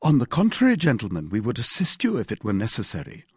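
A shrewd young musketeer, acted out by a male audiobook reader, bold and commanding. His pitch rides high and swings widely, with a slightly husky edge and a dry, close sound. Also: wildly swooping pitch, fast talker, a little mellow, no echo, heavily acted. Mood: bold, feigned compliance. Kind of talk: audiobook character acting.

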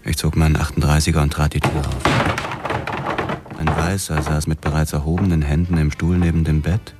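Brief: hard-boiled cop narrator, extremely low voice, terse and weary, noir radio drama over music. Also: male voice, level tone, normal speed. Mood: terse, controlled.

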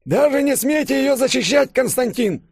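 A man's voice: very high-pitched, lively intonation, normal speed, creaky, breathy edge, dry recording, studio quality, pitched above the performer's own voice, exaggerated voice. A man voicing a grumpy, querulous old professor with huffy, pompous speech in an audiobook. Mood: indignant. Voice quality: elderly, grumbling, puffing.

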